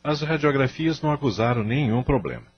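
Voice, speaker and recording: A man's voice: mid-range voice, expressive, brisk, husky. A man playing an anguished, guilt-ridden middle-aged husband and father in an audio drama. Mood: concerned. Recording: muffled audio drama recording with music.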